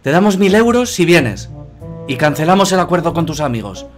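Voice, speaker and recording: A man's voice: on the high side, big pitch swings, fairly quick, soft background music. A man with an accent from Spain doing a phone prank on a livestream, in a coaxing, insinuating manner. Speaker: prank caller.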